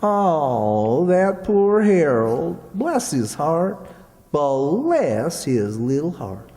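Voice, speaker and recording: A mild, wry country sidekick acted by a man from an old-time radio comedy. He drawls slowly in a mellow voice with lively swings of pitch and a rural Southern accent, folksy throughout, heard in a reverberant hall. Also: full character voice. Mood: folksy, sympathetic. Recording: echoey hall with long reverb.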